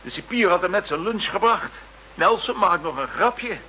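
An actor playing an urgent police officer in a radio drama who reports news briskly. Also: male voice, high voice, animated, dull audio, slight background noise.

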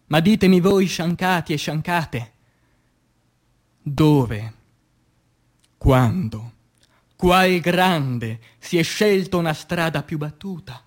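A man, an actor, reciting poetry in a declamatory, impassioned theatrical style that swings between hushed and loud. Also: fairly high pitch. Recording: music under the voice. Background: music.

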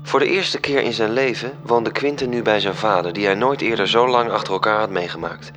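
A man's voice: fairly low pitch, fairly quick, lightly acted. A man playing a composed, solemn storyteller in a literary radio drama, narrating. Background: music.

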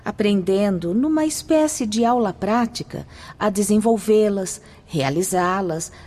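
An actress with a Brazilian accent playing a solemn, kindly spirit instructor in a radio drama, explaining things formally. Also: female voice, medium pitch, lively intonation, a little slow, mellow. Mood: solemn, instructive. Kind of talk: radio drama acting, didactic.

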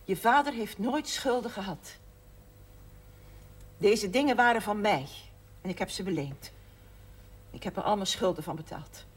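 An actress playing a proud, dutiful mother in her sixties in a naturalistic television drama, with a husky voice. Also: mid-range voice, measured pace, pauses often. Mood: defensive, firm. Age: 60s. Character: proud, dutiful mother of sixty-six, stubbornly practical.

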